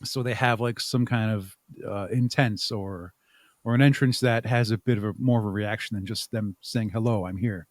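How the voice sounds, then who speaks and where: A man's voice: on the low side, fairly even intonation, moderate pace, no echo. A man speaking casually as a podcast guest, explaining his creative work in a rambling, chuckling way. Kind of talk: casual interview chat.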